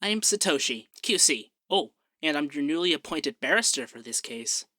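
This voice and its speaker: Man playing a bright-voiced peppy young femboy barrister. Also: fairly high pitch, lively intonation, moderate pace, no room sound, studio quality. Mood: peppy, flustered.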